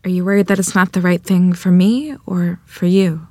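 A woman acting a gentle, sincere young rural bride from the 1920s at a screenplay table read, soft-spoken and measured. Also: lowish voice, fairly even intonation, no echo, studio quality, natural voice.

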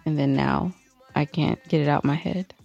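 A woman's voice: deep voice, fairly even intonation, normal speed, gravelly, darkish tone, no room sound, lo-fi sound, soft background music. A woman co-hosting a podcast with casual, joking banter and a playful, teasing manner. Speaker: podcast co-host.

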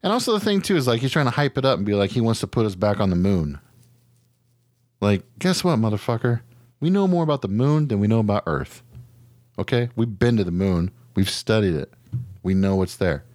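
A man's voice: lowish voice, very animated, a little fast, a little mellow, no echo, studio quality. An American man co-hosting a podcast in a casual comic rant, exasperated, sarcastic and profane. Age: adult, parent of children. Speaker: podcast co-host.

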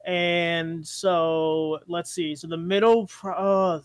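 High-voiced young man thinking aloud. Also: fairly even intonation, takes it slowly, smooth, no echo. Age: young adult. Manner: thinks aloud, second-guessing himself. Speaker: guest friend answering questions. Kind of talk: casual chat among friends.

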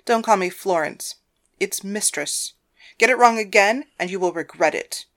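A woman's voice: mid-range voice, moderate pace, a bit bright, lightly acted. A woman voicing a domineering, controlling wife with a stern, commanding manner in audiobook dialogue. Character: domineering, controlling wife and mistress interrogating her husband.

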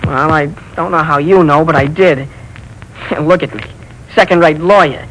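An actor playing a bitter, defensive lawyer husband in a 1940s radio play. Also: male voice, fairly high pitch, a little slow, noisy background, close to an everyday voice. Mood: grumbling. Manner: grumbling, defensive.

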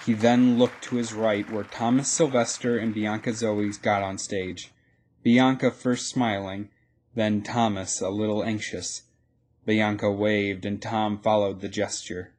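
A man's voice: lowish voice, darkish tone. A man narrating a scripted audio drama as a storyteller. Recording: crowd ambience and noise behind.

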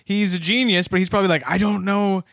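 A man's voice: high-pitched, fairly even intonation, fast, fairly bright tone, dry recording, clean studio sound. A man co-hosting a podcast, chatting in a wry, teasing way. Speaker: podcast co-host. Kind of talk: casual podcast chat.

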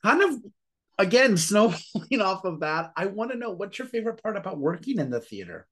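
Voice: mid-range voice, big pitch swings, brisk. An upbeat podcast host, gushing over the guest's answers while asking questions. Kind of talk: podcast interview.

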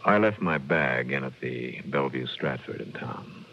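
A man playing a shrewd American private investigator in a 1960s radio drama, measured and probing, narrating in the first person. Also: rumbling low, lively intonation, creaky, a bit bright, a little hiss or hum, full character voice. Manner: measured, probing, hard-boiled. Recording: muffled 1960s radio recording, music behind.

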